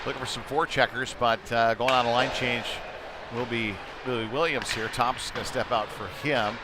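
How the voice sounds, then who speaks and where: A man giving conversational live play-by-play commentary of a youth hockey game, ready to break into excited calls. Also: mid-range voice, normal speed. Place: indoor ice rink during a game. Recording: clearly noisy sports rink behind voice.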